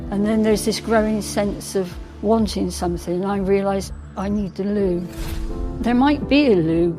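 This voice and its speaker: A woman speaks earnestly, telling her story with quiet determination. Her pitch is average. Music can be clearly heard beneath her voice. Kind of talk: interview testimony.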